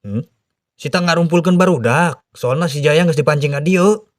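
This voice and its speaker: A man with a Sundanese accent performing comic parody cartoon dialogue in a coarse, colloquial, animated way. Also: fairly high pitch, normal speed, a little hiss or hum. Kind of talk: comic character dialogue dubbing.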